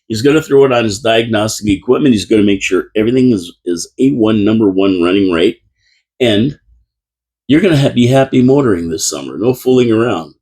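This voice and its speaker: Husky low-voiced radio host. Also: male voice, darkish tone. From Canada. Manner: rambling, chatty, many restarts and fillers. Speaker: radio talk show host. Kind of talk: casual live radio talk. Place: small radio studio. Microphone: studio microphone.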